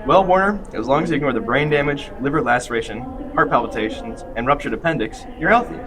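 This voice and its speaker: A man's voice: mid-range voice, lively intonation, fast talker, close to an everyday voice. A man playing a casual, blunt hospital doctor in a student radio play. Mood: breezy. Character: breezy, offbeat male hospital doctor.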